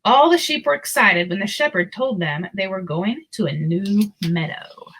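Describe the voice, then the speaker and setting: A woman narrating a children's storybook aloud in an expressive, deliberate storytelling manner. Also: medium pitch, background noise.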